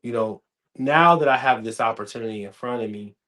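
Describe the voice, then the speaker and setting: A man in his thirties hosting a casual podcast chat, telling stories with lively acted-out quotes. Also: mid-range voice, a little fast. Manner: animated storytelling, acts out quoted dialogue. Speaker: podcast host.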